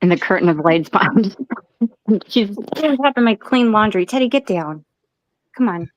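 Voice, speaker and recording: A woman's voice: mid-range voice, expressive, a little slow, no room sound, highs cut off. A woman chatting casually on a video call, playful and teasing. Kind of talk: casual group chat on a live stream.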